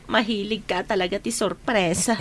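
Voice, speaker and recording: An actress playing a good-humoured expectant wife, easygoing and conversational, in a radio drama. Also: female voice, medium pitch, unhurried, a bit bright, a little hiss or hum, natural voice. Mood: amused, agreeable. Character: good-humoured pregnant wife chatting with her husband.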